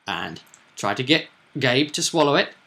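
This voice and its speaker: A man with a bright, forward voice talks casually as a game master. He retells a tabletop roleplaying session like a story, leaning hard on key phrases for dramatic effect. His pitch sits in the middle of the male range. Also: sing-song, normal speed, vocal fry.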